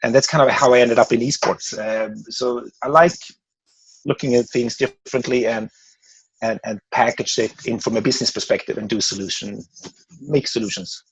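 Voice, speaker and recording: A man's voice: mid-range voice, fairly even intonation, normal speed, husky, no room sound, poor audio. A man in his forties from Sweden with a Swedish accent, a podcast guest talking casually and rambling with many restarts. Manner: rambling, restarts words often. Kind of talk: casual interview chat.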